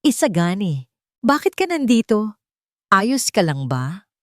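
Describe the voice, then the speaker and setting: A woman voicing a wounded but polite and firm young housemaid in a dramatized love story, speaking with quiet restraint. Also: medium pitch, sing-song, slow, dry recording, clean studio sound, voice pushed up. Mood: worried tenderness.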